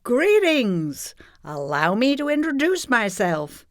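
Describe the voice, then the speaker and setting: A woman's voice: fairly high pitch, big pitch swings, slow. A woman playing a scheming, haughty female corporate villain in an audio play, pompous and theatrical in manner. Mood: grandly persuasive.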